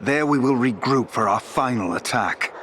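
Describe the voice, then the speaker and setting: An actor playing a courteous, resolute elven warrior lord in a fantasy game, speaking in a formal, measured, archaic manner. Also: male voice, mid-range voice, animated, background noise, close to an everyday voice. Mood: commanding.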